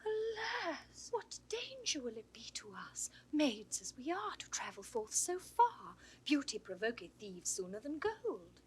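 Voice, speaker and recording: Proud young british noblewoman, high voice swooping all over, very theatrical, old shakespeare play. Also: big pitch swings, a little slow, few pauses. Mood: sorrowful, anxious. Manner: theatrical, impassioned, eloquent. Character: proud, passionate young noblewoman, the banished niece. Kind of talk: 1960s Shakespearean audio drama acting.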